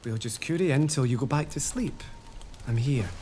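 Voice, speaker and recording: A man's voice: medium pitch, moderate pace, a little mellow, slight background noise, lightly acted. A man playing a devoted laird and husband in a period audio drama, tender and reassuring. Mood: soothing.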